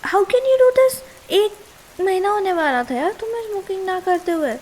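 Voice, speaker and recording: A woman's voice: very high-pitched, lively intonation, normal speed, a little mellow. A young woman with an Indian accent playing a caring but easily exasperated, nagging girlfriend in a casual ASMR roleplay, mixing two languages. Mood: angry, sarcastic. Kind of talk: ASMR girlfriend roleplay, Hindi mixed with English. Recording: hiss and rain sound behind.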